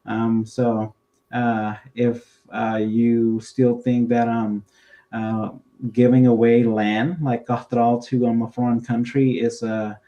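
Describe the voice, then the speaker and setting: A young man from the United States giving earnest political commentary, halting with many fillers. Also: medium pitch, flat delivery, warm tone, lo-fi sound. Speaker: co-host.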